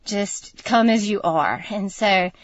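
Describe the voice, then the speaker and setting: A woman's voice: medium pitch, slow pace, dry recording, muffled recording. Earnest woman, dry studio. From North Carolina, USA. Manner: earnest, reflective, laughs often. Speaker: guest. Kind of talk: personal testimony in a radio interview. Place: radio studio.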